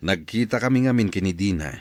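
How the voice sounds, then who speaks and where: A man's voice: on the low side, lively intonation, fast talker, darkish tone, no echo, studio quality, lightly acted. A man playing an easygoing, helpful older cousin in an Ilocano radio drama. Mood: persuasive.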